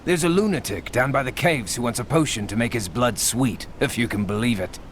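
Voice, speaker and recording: An actor playing a friendly village potion seller with a chatty, brisk sales manner. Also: male voice, medium pitch, slight background noise, close to an everyday voice.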